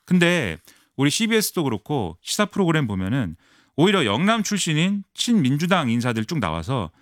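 Fast animated male political commentator. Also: on the high side, no room sound, studio quality. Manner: fast, animated explaining with many fillers. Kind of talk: radio current-affairs analysis.

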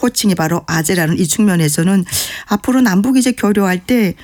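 A woman's voice: medium pitch, brisk. Woman, North Korean accent, interview guest, casual chat, quotes dialect phrases. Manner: acts out dialect phrases in lively quoted voices.

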